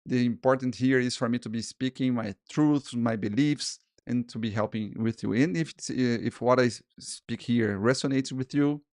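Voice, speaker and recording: A man in his forties with a Portuguese-speaking accent talks through his second language, stopping, restarting and repeating words as he goes. His pitch sits in an ordinary middle range. The sound is close and dry, with no room around it. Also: a little mellow, no room sound. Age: 40s. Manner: halting, many restarts and repeated words, frequent "okay?" and "guys". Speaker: freelance illustrator and podcast host. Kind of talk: casual solo talk to camera.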